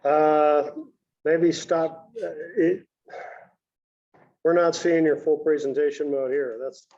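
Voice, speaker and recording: A man's voice: fairly high pitch, little pitch movement, slow pace, darkish tone. A man chatting casually on a video call, as an attendee helping out a presenter. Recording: dull, lo-fi video call audio.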